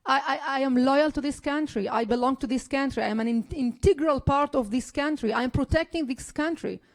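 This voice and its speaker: A woman from Israel, a politician speaking on a discussion panel. She talks with earnest passion, often restarting her sentences, in a voice pitched a touch above the usual. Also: fairly quick. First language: Arabic. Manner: impassioned, earnest, frequent restarts.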